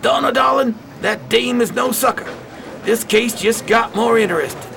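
A cocky hard-boiled detective from an old radio crime play, played by a man. His voice is high and sharp, heavily creaky, full of slangy wisecracks. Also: moderate pace, a little hiss or hum, exaggerated voice. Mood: intrigued. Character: wisecracking, cocky 1920s private investigator. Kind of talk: old-time radio drama acting.

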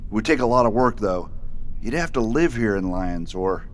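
A man acting in an audio drama as a resolute, authoritative U.S. Marshal in an old western town, speaking in a measured, purposeful way. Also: on the low side, animated, a little hiss or hum, natural voice. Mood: earnest, reflective.

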